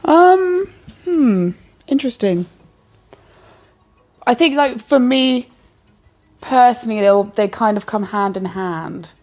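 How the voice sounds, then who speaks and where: A woman's voice: on the high side, animated, slow, pauses often, a little mellow, soft background music. A woman co-host in a salon chat, thinking aloud with hesitant, thoughtful answers into a handheld mic. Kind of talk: casual chat. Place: hair salon, indoors.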